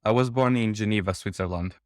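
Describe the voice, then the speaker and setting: A man in his thirties from Portugal, a startup cofounder answering interview questions in a relaxed, halting way with lots of fillers. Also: lowish voice, no room sound, clean studio sound.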